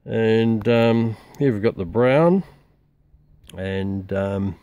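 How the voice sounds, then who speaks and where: An older Australian man casually demonstrating radios outdoors in a garden, relaxed and rambling with many fillers. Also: fairly low pitch, extremely slow, clear, resonant tone, dull audio. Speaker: radio hobbyist presenting receiver comparison. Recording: noisy outdoor recording.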